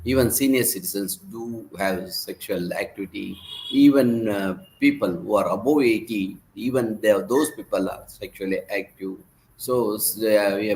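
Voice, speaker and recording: A man giving an online medical lecture, hoarse from laryngitis, halting with many fillers and restarts. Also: on the low side, rough recording. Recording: faint background noise.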